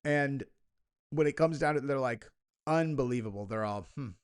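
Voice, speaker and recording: A man's voice: mid-range voice, moderate pace, smooth. A young man hosting a casual reaction video, rambling and sarcastic, with lots of "like" and "you know". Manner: rambling, sarcastic, heavy filler words. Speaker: commentary video host.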